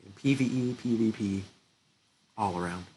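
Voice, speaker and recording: A man's voice: on the low side, normal speed, mellow, a little hiss or hum, poor audio. Stuffy-nosed young man narrating gameplay. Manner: chatty, laughs often, thinks aloud. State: stuffy nose, getting sick. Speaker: gaming channel host. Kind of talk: casual gameplay commentary.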